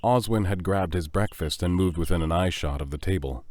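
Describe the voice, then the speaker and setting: A man's voice: sits low, fairly quick, a little mellow. A man reading scripted narration for an audio drama as its narrator. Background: music.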